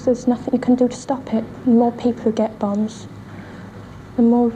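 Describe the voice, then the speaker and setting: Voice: medium pitch, flat delivery, moderate pace, dark tone. A young girl giving earnest answers in an interview. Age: child or teenager. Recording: faintly noisy 1960s archival interview.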